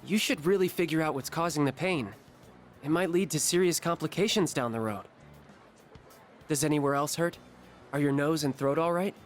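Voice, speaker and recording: An actor voicing an earnest, slightly hesitant teenage art club leader who fusses over others. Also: male voice, on the high side, moderate pace, pauses often, faint music behind, lightly acted. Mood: worried. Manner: earnest, hesitant, then fussy and caring.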